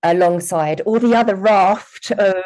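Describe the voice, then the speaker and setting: A woman's voice: mid-range voice, measured pace, a little mellow. A woman hosting a podcast, chatting enthusiastically with her guest. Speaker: podcast host. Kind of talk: conversational interview.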